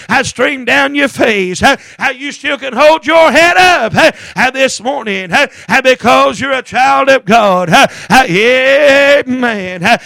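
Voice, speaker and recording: A man's voice: extremely high pitch, creaky, sharp tone, no room sound. A man preaching a fervent, chanted revival-style sermon in a strained voice, punctuating his phrases with gasped grunts and a repeated term of address.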